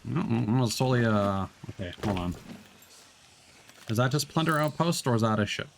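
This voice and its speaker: A man's voice: mid-range voice, expressive, brisk, vocal fry, slight background noise. A man streaming a game, talking casually with lots of fillers and restarts. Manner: rambling, many fillers and restarts. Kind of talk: casual gameplay commentary.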